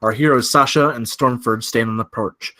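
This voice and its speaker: A man with a mid-pitched voice serves as narrator at a table read. He reads out screenplay stage directions and scene headings. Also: fairly even intonation, moderate pace, bright, forceful tone.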